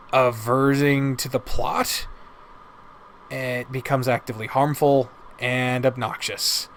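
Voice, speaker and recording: Sweary, rambling man over background noise. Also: mid-range voice. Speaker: video host reviewing visual novels. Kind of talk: casual opinionated commentary.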